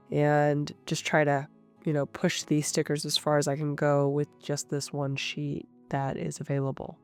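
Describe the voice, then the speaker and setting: A woman with a cold, sounding nasal and a little rough, calmly narrating her craft session as the host of her channel. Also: low-pitched. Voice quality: slightly rough. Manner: calm, explanatory, thinking aloud. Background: soft guitar music.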